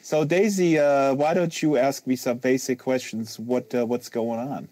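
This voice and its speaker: A man's voice: medium pitch, steady tone, measured pace, dark tone, no echo. A man hosting a casual, unscripted live conversation, talking in a rambling, emphatic way given to hyperbole. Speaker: host of a live social VR book reading.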